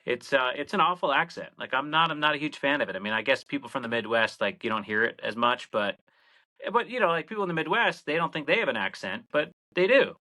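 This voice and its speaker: A man in his late thirties from Massachusetts, with only a slight Boston accent, talks in a loose, rambling way full of "like" and "you know". His voice is bright and forward at a middle pitch, and he acts out what people said to him. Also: fast. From Massachusetts (Cape Cod). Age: 30s. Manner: rambling, heavy filler "like", animated quotes. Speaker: radio programmer, podcast guest. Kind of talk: casual podcast conversation.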